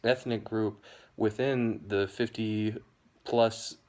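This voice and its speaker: A young American man explaining a topic to camera in a measured, hedging way, with frequent ums and "you know". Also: fairly low pitch, level tone, a little mellow. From United States. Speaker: educational video host.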